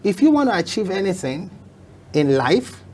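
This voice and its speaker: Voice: mid-range voice, sing-song, moderate pace, a little mellow. A talk show guest telling an emphatic story, often repeating phrases for effect. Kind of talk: motivational talk show conversation.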